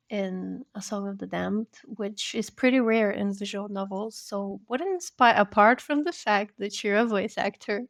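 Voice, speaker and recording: A woman's voice: mid-range voice, no room sound, studio quality. A woman hosting a podcast, asking questions in an informal, personal, slightly hesitant way. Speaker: podcast host. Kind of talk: casual interview chat.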